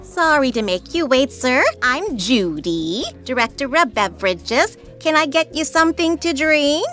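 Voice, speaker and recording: A woman's voice: high-pitched, very animated, unhurried, fairly bright tone, soft background music, heavily acted. A woman playing an earnest, officious drinks waitress with a polite service manner in a comedy audio sketch. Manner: polite, customer-service delivery.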